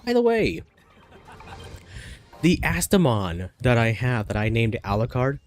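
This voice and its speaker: A man's voice: mid-range voice, wildly swooping pitch, unhurried, faint music behind. Stuffy-nosed man rambling over gameplay. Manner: rambling, thinks aloud with many fillers and restarts. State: stuffy nose from a cold. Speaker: gaming streamer. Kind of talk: casual gameplay commentary.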